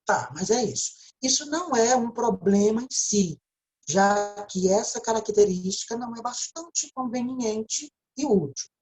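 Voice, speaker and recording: Mellow-voiced Brazilian woman teaching. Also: a little high, animated, measured pace, poor audio. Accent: Brazilian Portuguese. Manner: explanatory, often checks in with a tag question. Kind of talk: recorded video lecture.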